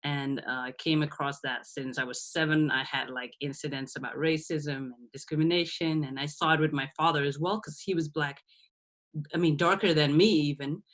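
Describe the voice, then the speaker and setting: A woman's voice: low-pitched, steady tone, moderate pace. A woman in her thirties from Belgium, a podcast guest talking through her past in a reflective way, with frequent laughs and restarts. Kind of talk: casual interview.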